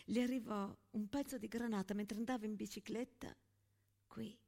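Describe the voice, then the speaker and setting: Old village woman, grieving and fierce, confiding theatre monologue, emphatic with big pauses. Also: mid-range voice, fairly even intonation, creaky, dark tone. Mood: mournful. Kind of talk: dramatic stage monologue.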